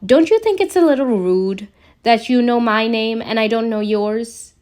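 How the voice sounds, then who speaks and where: A woman's voice: on the high side, normal speed, a little mellow, close to an everyday voice. A woman voicing a cocky, sarcastic male genius engineer in a fan fiction reading. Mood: snarky challenge. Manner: sarcastic, quippy.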